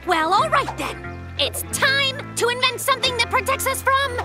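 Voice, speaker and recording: A performer voicing an excitable little cartoon bear, a boastful, melodramatic inventor who exclaims and stretches out words. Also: extremely high pitch, moderate pace, music in the background. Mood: self-important.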